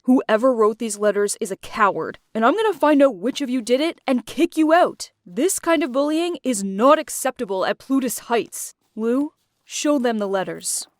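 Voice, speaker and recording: A stern young man, commanding and blunt, as a woman puts him on in a storybook tale. His voice sits fairly high and swings lively up and down. It sounds dry and close. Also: moderate pace, dry recording, natural voice. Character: angry young man taking charge.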